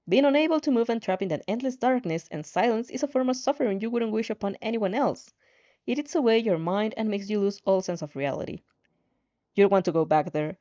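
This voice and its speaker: A woman reading fan fiction aloud in an animated way, now and then stumbling and rereading a phrase, on a slightly dull recording. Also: mid-range voice. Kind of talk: animated story reading with asides.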